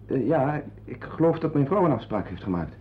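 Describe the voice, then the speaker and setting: An actor playing a self-important, opinionated husband in a radio drama, confiding in listeners in a wordy way and hesitant with strangers. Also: male voice, medium pitch, very animated, fairly quick, slightly rough. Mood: hesitant, awkward. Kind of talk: radio drama acting with narrated asides. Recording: dull, lo-fi old radio play recording.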